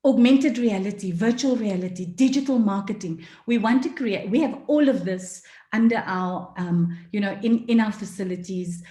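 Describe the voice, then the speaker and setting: Earnest woman forum speaker, mellow tone, fillers, echoey room. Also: mid-range voice, normal speed. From South Africa. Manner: earnest, many fillers, emotional at times. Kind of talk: passionate talk. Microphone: video call.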